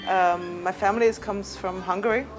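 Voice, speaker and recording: A woman's voice: mid-range voice, steady tone, moderate pace, music in the background, poor audio. A woman filmmaker who grew up in Switzerland, speaking hesitantly and searching for words as she tells her story. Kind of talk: interview testimony.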